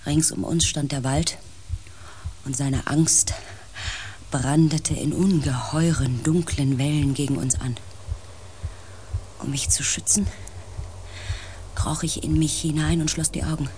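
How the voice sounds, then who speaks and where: A woman's voice: deep voice, steady tone, takes it slowly, long pauses, warm tone, slight background noise. An actress playing a female space pilot, grave and intense, in a science fiction radio play. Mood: tense, haunted. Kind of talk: radio play acting, dramatic first-person narration.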